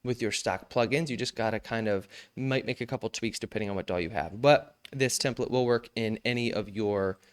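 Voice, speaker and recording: Smooth-voiced young man teaching vocal mixing. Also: fairly low pitch, fairly quick, few pauses. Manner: relaxed, slangy, self-joking. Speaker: music production tutor. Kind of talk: casual screen-recorded mixing tutorial.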